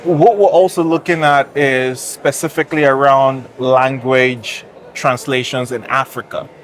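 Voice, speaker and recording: A man from Nigeria, a tech founder and guest, explaining his business in a measured, list-like way during an interview at a conference. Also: medium pitch, fairly even intonation, a little hiss or hum.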